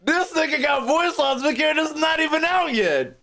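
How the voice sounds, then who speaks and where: A man's voice: very high-pitched, expressive, moderate pace, bright, forceful tone, slight background noise. An American man hosting a livestream in casual chat, bantering brashly with viewers, swearing freely and repeating phrases for emphasis. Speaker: streamer hosting a reaction video.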